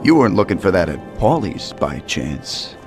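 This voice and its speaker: An actor playing a treacherous, mocking mobster in a dramatic video game cutscene. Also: male voice, low voice, big pitch swings, moderate pace. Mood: smug, mocking. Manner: mocking, theatrical delivery. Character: treacherous male mobster, mocking, cold, coolly businesslike. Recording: music behind the voice. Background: dramatic background music.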